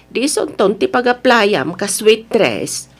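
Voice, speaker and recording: A woman's voice: a little high, big pitch swings, measured pace, heavily acted. A woman acting a firm, humble middle-aged mother with an Ilocano Filipino accent, scolding and advising in a motherly way in an audio drama. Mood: exasperated.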